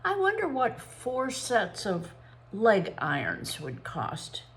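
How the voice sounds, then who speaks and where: A woman reading a storybook as a calm, agreeable mother with dry humour. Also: a little high, takes it slowly, vocal fry, heavily acted. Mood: conceding, dry.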